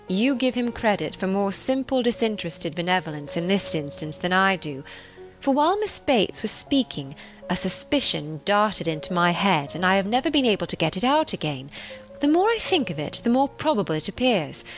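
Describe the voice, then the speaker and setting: A woman voicing a genteel married woman in an audiobook, a calm and teasing friend who reasons in measured, formal period phrases. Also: medium pitch, soft background music, natural voice. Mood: sly, confiding.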